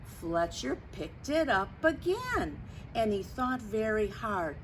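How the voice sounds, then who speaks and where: A woman reading a picture book aloud for young children, expressive and explanatory, talking directly to her listeners. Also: medium pitch, slow pace, slight background noise, rough recording. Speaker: storytime reader.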